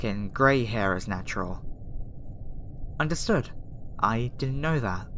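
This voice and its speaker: Woman acting as an earnest, low-voiced alien girl. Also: a bit bright, slight background noise, heavily acted. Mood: curious. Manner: hesitant, stammering, reassuring. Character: earnest, easily flustered alien girl researcher abducting a human. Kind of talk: ASMR roleplay voice acting.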